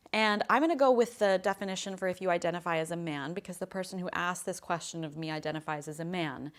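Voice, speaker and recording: Woman voice coach, slightly low voice. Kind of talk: casual explanatory lesson to camera.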